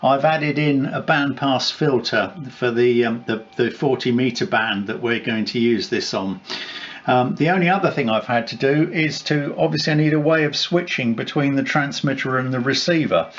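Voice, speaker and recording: A man giving an informal, unscripted equipment demonstration as a radio hobbyist, explaining haltingly and correcting himself as he goes. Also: medium pitch. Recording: dull sound with loud background noise.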